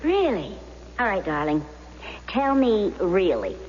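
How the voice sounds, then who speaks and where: An actress playing a persuasive, affectionate, confident wife in radio drama, coaxing with emphatic theatrical delivery. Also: female voice, medium pitch, sing-song, takes it slowly, darkish tone. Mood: coaxing, teasing. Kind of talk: 1970s radio drama acting. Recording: dull, noisy, reverberant old radio recording.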